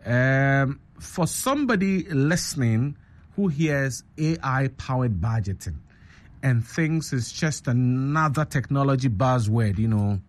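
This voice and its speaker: A man hosting a breakfast radio show, asking a guest measured, probing questions. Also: medium pitch, animated. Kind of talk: radio interview.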